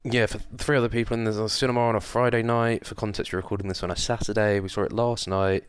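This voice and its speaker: Low, smooth-voiced man, wry podcast host. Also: flat delivery, quick pace. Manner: wry, sarcastic, sweary. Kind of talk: casual chat, recapping a film plot.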